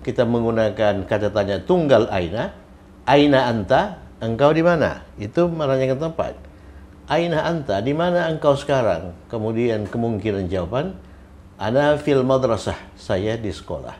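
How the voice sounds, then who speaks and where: A man's voice: medium pitch, lively intonation, normal speed, a little mellow. A man teaching an Arabic grammar lesson, patiently repeating each example and its translation.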